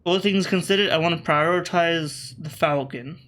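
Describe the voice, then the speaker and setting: A man with an Australian accent, shifted by British media, talking over his gameplay in a casual way. His voice sits a bit high and sounds bright and forceful. He thinks out loud, catches himself mid-sentence and throws dry remarks at the players he faces. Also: moderate pace. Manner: thinks aloud, self-correcting, wry asides to opponents. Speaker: gaming video creator.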